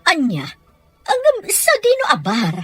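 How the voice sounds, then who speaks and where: A woman's voice: sits high, wildly swooping pitch, measured pace, breathy edge, dry recording, soft background music, full character voice. A woman acting as a worried, indignant mother in an amateur audio drama, emphatic and full of questions. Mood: anxious.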